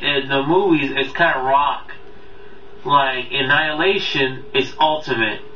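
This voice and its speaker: A man with a forceful, bright voice hosts an unscripted review, addressing viewers casually. He rambles and halts as he goes. The sound is echoey and a little distant from the mic. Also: fairly high pitch. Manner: rambling, halting, often losing track. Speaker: video countdown host and reviewer. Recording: roomy, distant, dull mic, faint noise.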